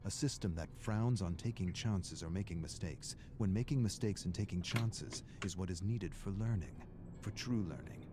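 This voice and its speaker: A man performing as a solemn storyteller, narrating a video game's character stories in measured, dramatic phrases. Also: sits low, music in the background, natural voice.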